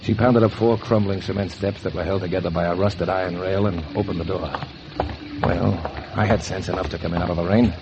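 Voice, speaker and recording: Noisy muffled 1940s radio, low-voiced struggling artist, wry, world-weary, hard-boiled noir narrator. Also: male voice, fairly even intonation, a little fast. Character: down-on-his-luck male painter, world-weary, hopeful, noir narrator.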